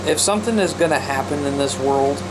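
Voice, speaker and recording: A man's voice: on the high side, fairly quick. A man talking casually to viewers as a radio hobbyist vlog host, often repeating himself for emphasis. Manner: repetitive, emphatic, addresses listeners as guys. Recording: loud background noise and radio hiss.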